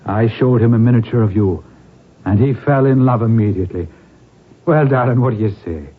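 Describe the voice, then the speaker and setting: A man's voice: mid-range voice, a little fast, lightly acted. An actor playing a fond, courtly Irish nobleman father in a 1970s radio drama. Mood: fond, reassuring. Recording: muffled 1970s radio broadcast.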